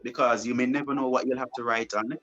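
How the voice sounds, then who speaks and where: A young man talks through his answers in a relaxed way. He circles back on his words and restarts his phrases. Faint music plays under his voice. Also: medium pitch, fast talker, muffled recording. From Jamaica. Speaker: broadcast journalist guest. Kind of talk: casual interview answers.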